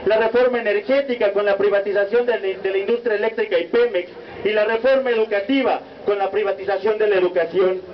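A young man with a Mexican accent reading a solemn protest speech as a student speaker, in a measured, declamatory cadence. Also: high voice, fairly even intonation. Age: young adult. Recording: muffled lo-fi recording with faint noise.

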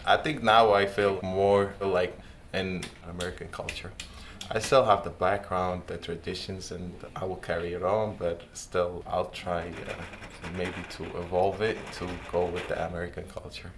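Low-voiced young man in a small shop. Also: little pitch movement, normal speed, a little hiss or hum. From Lebanon. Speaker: hookah shop owner. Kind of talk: documentary interview.

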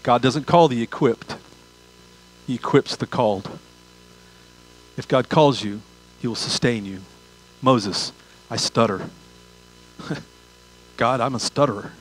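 An older pastor delivers a sermon in a mid-pitched voice. He speaks conversationally to his listeners. He repeats key phrases and poses rhetorical questions to drive each point home. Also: slow pace, pauses often, breathy edge, rough recording. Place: large church auditorium stage.

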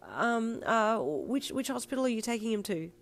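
A woman's voice: mid-range voice, completely flat delivery, takes it slowly, vocal fry, darkish tone, soft background music. A woman voicing an emotional young female heroine with a quivering, easily breaking voice in an audio drama. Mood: nervous, quivering. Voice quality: quivering, broken voice. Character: anguished, tearful young female protagonist, timid but teasing.

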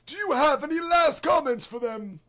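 A man voicing a polite, uneasy old alien guardian in an anime parody, formal and measured. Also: very high voice, animated, a bit bright, exaggerated voice. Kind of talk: anime parody dub acting.

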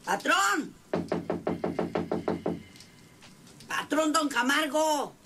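Shrill Mexican ranch servant. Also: female voice, lively intonation, takes it slowly, bright, forceful tone, full character voice. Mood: urgent. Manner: complaining, rustic, insistent. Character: plain-spoken, aggrieved female ranch servant. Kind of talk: old radio serial acting.

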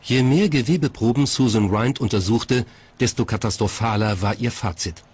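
A man's voice: medium pitch, normal speed, a little mellow, husky. A man reading scripted documentary narration as the narrator. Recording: music bed behind narration. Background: background music.

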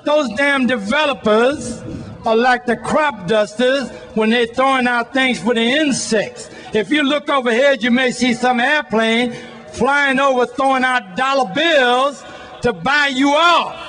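A man's voice: extremely high pitch, measured pace, pushed and bright, faint music behind. A man, giving a militant, folksy, improvised protest speech outdoors into a microphone. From Brooklyn, New York. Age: 70s. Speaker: community activist at a rally. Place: outdoor city rally stage. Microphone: handheld microphone.

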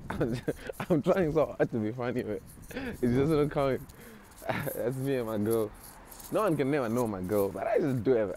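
Young man vlogging over loud street noise. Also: medium pitch. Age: young adult. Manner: joking, swaggering, self-mocking street slang. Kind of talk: casual rambling selfie vlog. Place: outdoors on city streets and inside a shop. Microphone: wired earphone mic, handheld phone from below.

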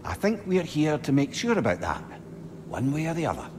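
An actor playing a wry, chatty old talking head and loyal advisor with a Scottish accent in a video game. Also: male voice, fairly high pitch, huge pitch swings, brisk, a little mellow, a little hiss or hum. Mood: earnest, persuasive. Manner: chatty, wry, earnest persuasion. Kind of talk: video game dramatic acting. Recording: game audio under music.

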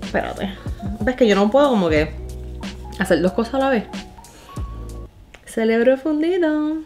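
A woman's voice: on the high side, animated, a little slow, darkish tone. Young Puerto Rican woman chatting over music. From Puerto Rico. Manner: informal, slangy, switches into English words. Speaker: vlogger. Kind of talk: casual chat, personal storytelling. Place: living room at home.

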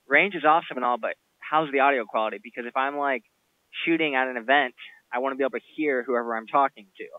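A man's voice: mid-range voice, fast, dry recording, narrow, tinny audio. A man in his twenties giving a casual gear review as a video host. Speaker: video reviewer, event shooter. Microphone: headset intercom mic.

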